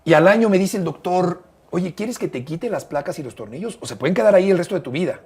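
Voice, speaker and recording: A middle-aged Mexican man speaks as an interview guest, recounting events in careful detail with occasional hesitations. His voice is somewhat husky and sits a little high for a man. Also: animated, darkish tone. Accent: Mexican Spanish. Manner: measured, detailed storyteller with frequent hesitations. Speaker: interview guest, sports broadcaster. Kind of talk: conversational storytelling.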